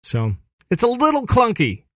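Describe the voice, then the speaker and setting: Excitable man hosting a gaming podcast. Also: extremely high pitch, moderate pace, no echo, studio quality. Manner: animated, excitable, laughs often. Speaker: podcast host. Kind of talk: casual gaming chat.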